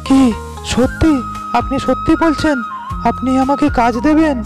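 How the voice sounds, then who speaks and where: Loud music sits under a humble village labourer played by an actor from a cartoon moral tale. He speaks with earnest deference, like an honest poor man addressing his better. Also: high voice, normal speed, lightly acted. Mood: hopeful. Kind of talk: Bengali animated moral story dialogue.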